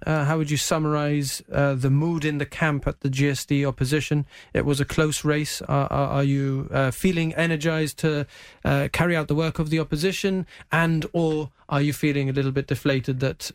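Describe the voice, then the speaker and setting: A man hosting a live current affairs programme, asking questions in a conversational, halting way. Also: fairly high pitch, steady tone, no room sound, clean studio sound. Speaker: radio and TV programme host.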